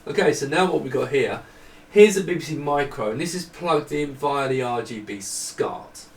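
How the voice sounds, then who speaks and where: A man's voice: medium pitch, expressive, moderate pace, vocal fry. A man giving a casual, unscripted hardware demo, rambling and thinking aloud in a self-deprecating way. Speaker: hardware designer demonstrating a product. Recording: roomy, distant mic, faint noise.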